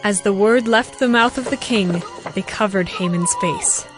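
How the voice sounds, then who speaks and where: A woman giving a formal, measured, scripted narration of a dramatized scripture story. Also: mid-range voice. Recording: music behind the voice.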